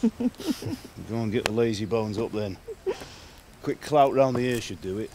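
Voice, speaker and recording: A man's voice: on the low side, moderate pace, natural voice. An actor playing a middle-aged blacksmith father in a medieval game, blunt and commanding. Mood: grumbling. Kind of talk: dramatic video game dialogue.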